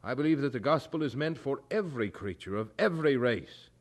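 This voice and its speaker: A male actor plays an earnest colonial preacher in an audio drama. He speaks formally and firmly, with measured, carefully articulated phrasing and a middle-pitched voice. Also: expressive, natural voice. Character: earnest, firm colonial chaplain and evangelist.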